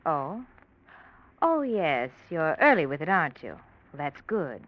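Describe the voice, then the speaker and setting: A woman's voice: low voice, sing-song, a little slow. An actress playing a prim, fussy woman at a doctor's office, polite and proper, in 1940s radio style. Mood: prim, approving. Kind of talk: 1940s radio drama acting. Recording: noisy, muffled old radio recording.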